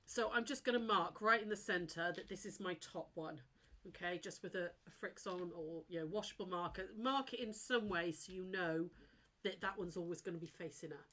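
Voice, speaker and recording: A woman's voice: on the low side, moderate pace, fairly bright tone. Snuffly woman teaching sewing live. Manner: chatty and apologetic, chats with live viewers while demonstrating. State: snuffly, blocked nose. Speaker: sewing teacher hosting a live craft demo. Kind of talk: casual live-stream tutorial.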